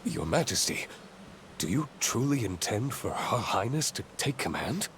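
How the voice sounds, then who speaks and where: An actor playing a deferential, uneasy military advisor to royalty in a video game, speaking formally. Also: male voice, on the low side, very animated, moderate pace, fairly bright tone, slight background noise. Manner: formal, hesitant before his liege. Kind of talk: video game cutscene acting.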